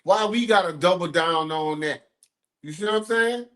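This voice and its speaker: A man's voice: high voice, moderate pace, a bit bright. Man arguing his point on a podcast. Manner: argues his point, keeps checking "you see what I'm saying". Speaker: podcast co-host. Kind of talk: casual podcast chat.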